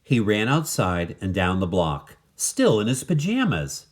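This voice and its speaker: Slow, animated male storybook reader. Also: lowish voice. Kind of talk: expressive scripted children's story narration.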